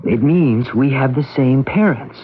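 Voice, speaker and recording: An actor playing a patient, worried brother who explains gently and carefully in a radio drama. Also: male voice, medium pitch, measured pace, husky. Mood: patient, earnest. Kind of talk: 1970s radio drama acting. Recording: dull lo-fi radio broadcast recording.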